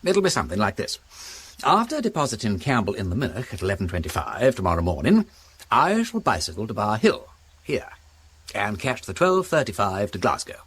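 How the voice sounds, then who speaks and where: An actor playing an eccentric, playful English aristocrat detective with an upper-class accent, whimsical, wordy and commanding in a radio drama. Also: male voice, on the low side, huge pitch swings, fairly quick, fairly bright tone, breathy edge, full character voice. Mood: breezy, explaining.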